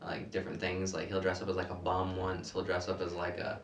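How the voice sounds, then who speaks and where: A young man speaks in a low voice, halting and trailing off as he looks for words. The sound is roomy and comes from well away from the mic. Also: completely flat delivery, vocal fry. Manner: hesitant, trailing off, halting restarts. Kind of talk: casual chat among friends. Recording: echoey room, mic far off.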